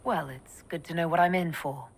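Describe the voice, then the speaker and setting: Lowish-voiced, businesslike female mage leader. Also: normal speed, vocal fry, breathy edge, exaggerated voice. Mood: dry. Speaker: player hero. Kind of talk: game dialogue.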